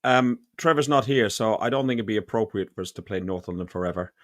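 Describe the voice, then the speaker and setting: A man hosting a podcast who talks in a lively, jokey way and often stumbles over his words. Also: lowish voice, fast talker, no room sound, studio quality. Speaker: podcast host. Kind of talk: casual chat.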